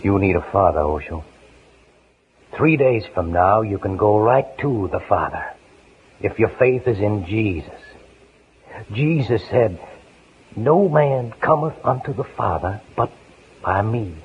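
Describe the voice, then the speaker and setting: A man's voice: lowish voice, lively intonation, measured pace, long pauses, husky, heavily acted. An actor playing an earnest, fatherly ex-convict evangelist in a persuasive, plain-spoken 1950s radio style. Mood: earnest, urging. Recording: noisy, tinny 1950s radio broadcast.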